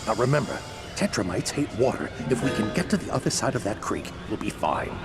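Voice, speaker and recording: A gruff veteran game soldier, wary and commanding. The voice is fairly low and gravelly, terse but varied in tone, under loud music. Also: male voice, sing-song, a little fast. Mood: wary, urgent. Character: gruff seasoned military alien guide, wary and commanding. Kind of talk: video game voice acting.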